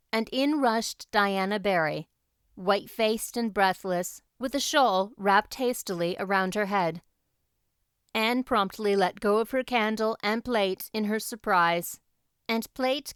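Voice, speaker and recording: A woman reading a novel aloud as an audiobook narrator, in scripted storybook style with a clear, smooth voice. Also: mid-range voice, no echo, studio quality.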